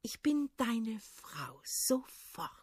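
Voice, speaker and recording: A woman's voice: a little high, sing-song, very slow, a little mellow, slightly rough, no room sound, heavily acted. A woman voicing a young, haughty sea princess with a mocking, commanding manner.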